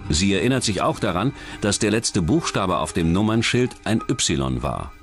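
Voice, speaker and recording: A male documentary narrator with a fairly low voice. His scripted true-crime delivery moves in lively, varied pitch, and soft music plays faintly underneath. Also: moderate pace.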